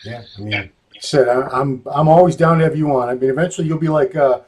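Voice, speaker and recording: A man's voice: mid-range voice, fast talker, a little mellow, rough recording. A man hosting an interview, chatty and playful, joking with his guest. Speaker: interview host. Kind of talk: casual interview chat.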